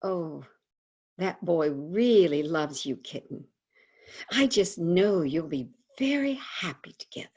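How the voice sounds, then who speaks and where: A woman playing an older advice columnist, tender and frank, in a husky-voiced staged monologue over a video call. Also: on the low side, expressive, slow pace, darkish tone, highs cut off, exaggerated voice. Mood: tender, earnest.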